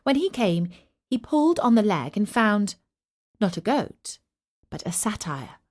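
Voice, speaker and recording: A woman narrating a scripted story for children in an expressive, measured and deliberate storyteller's manner. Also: mid-range voice.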